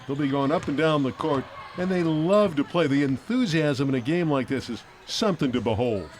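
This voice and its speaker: A man's voice: fairly high pitch, lively intonation, normal speed, a little mellow, a little hiss or hum. A man calling a youth basketball game as the play-by-play announcer, upbeat and hyping the action. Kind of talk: sports commentary.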